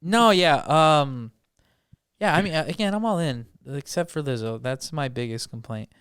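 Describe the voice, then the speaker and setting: A man's voice: on the high side, moderate pace, fairly bright tone, smooth, dry recording. A young man co-hosting a podcast from a home studio, chatting casually with playful mock outrage. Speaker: podcast co-host. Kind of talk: casual chat. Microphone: studio mic with headphones.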